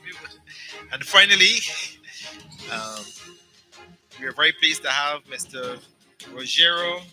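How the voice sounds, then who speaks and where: A man's voice: a little high, pushed and bright, rough recording. A man moderating a business forum panel, introducing speakers with many ums and repeated words. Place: conference hall.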